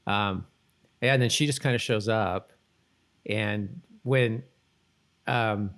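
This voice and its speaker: Man, low pitch, casual TV recap, podcast co-host, halting conversational delivery. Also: a bit bright. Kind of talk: casual chat recapping a TV episode.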